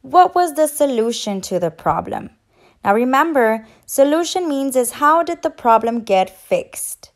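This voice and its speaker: Casting: female teacher for kids' read-aloud, high voice, very unhurried, encouraging and instructional. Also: sing-song. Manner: instructional, encouraging, addressing young children. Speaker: teacher and children's story reader. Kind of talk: children's read-aloud and quiz.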